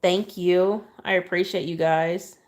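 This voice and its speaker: Southern woman, soft calm lower voice, chatty livestream cake decorator at a kitchen counter. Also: unhurried. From Oklahoma, USA. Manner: chatty, thanks viewers often, asides while working. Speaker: cake decorator live-streaming. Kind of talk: casual livestream chat while piping icing.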